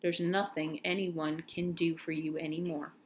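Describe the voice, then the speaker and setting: A woman reading a children's novel aloud in an expressive storytelling style, now and then stumbling and correcting herself. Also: low-pitched, moderate pace, slight background noise.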